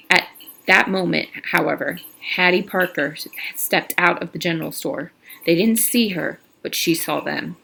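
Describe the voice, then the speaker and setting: Woman reader, low gravelly voice, casual read-aloud, stumbles now and then. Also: fairly bright tone, a little hiss or hum, low-quality recording. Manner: casual, with slips and restarts. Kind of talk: reading a novel aloud.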